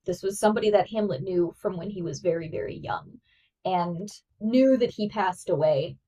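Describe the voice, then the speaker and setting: A woman's voice: lowish voice, moderate pace, mellow, recorded from a distance, lo-fi sound. A woman co-hosting a podcast in casual, chatty conversation, often saying "like". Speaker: podcast co-host. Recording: voice a bit off-mic.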